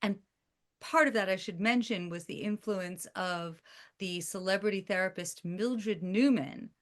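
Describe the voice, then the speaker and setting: Woman guest author, mid-pitched, talkative, pauses often to find her words. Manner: chatty, halting with fillers and restarts. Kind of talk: casual podcast conversation.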